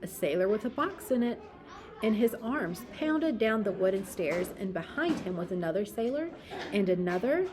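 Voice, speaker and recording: A woman reading a children's story aloud expressively, with deliberate, dramatic emphasis. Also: medium pitch, animated, noisy background. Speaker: storybook reader.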